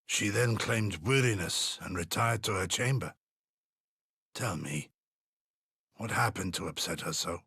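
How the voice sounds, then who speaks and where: An actor playing a gruff, protective old samurai retainer in a dramatic game scene, with a gravelly, husky voice and formal, weighty phrasing. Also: male voice, low voice, a little slow, long pauses, bright, forceful tone, no echo, studio quality, full character voice. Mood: somber, concerned.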